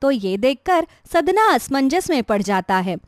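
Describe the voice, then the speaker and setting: A woman's voice: high-pitched, big pitch swings, measured pace, no echo, clean studio sound. A woman narrating a moral tale as a scripted bedtime story.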